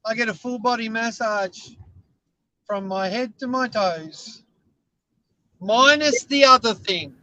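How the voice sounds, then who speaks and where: A man's voice: extremely high pitch, slow, pauses often, bright, forceful tone, dry recording, rough recording. A man with Scottish family roots and a mixed British Isles accent, chatting casually as a guest and rambling in a self-deprecating way. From Scotland. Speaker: guest caller. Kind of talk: casual chat.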